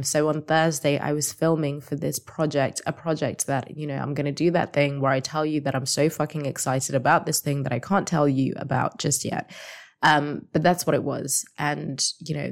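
A woman hosting a casual solo podcast as a coach, her voice slightly raspy, asking the listener reflective questions. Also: low-pitched.

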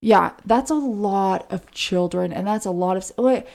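A woman's voice: mid-range voice, normal speed, creaky, a little mellow. A woman co-hosting a podcast, narrating true crime in an informal way with chatty asides. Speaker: podcast co-host.

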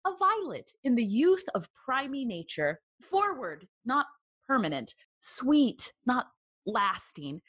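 Protective big sibling in shakespeare, high lively swoopy pitch, emphatic and preachy, dry sound. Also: female voice, dry recording, full character voice. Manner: emphatic, theatrical, admonishing. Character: Laertes, protective, earnest, cautioning older sibling. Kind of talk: Shakespearean audio drama acting.

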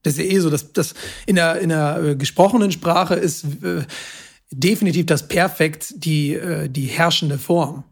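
A man's voice: a little high, normal speed, gravelly, breathy edge. A man speaking German natively, explaining casually as a host, pedantic yet chatty. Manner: self-confessed language nerd, pedantic yet chatty. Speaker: podcast host explaining grammar.

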